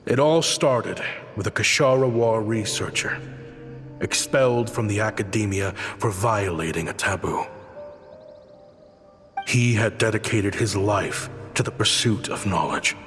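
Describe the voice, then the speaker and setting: A man's voice: lowish voice, unhurried, fairly bright tone. An actor voicing an earnest young exiled researcher obsessed with his craft, in a dramatic video game performance. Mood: composed, confessional. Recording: studio voice over prominent music. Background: music.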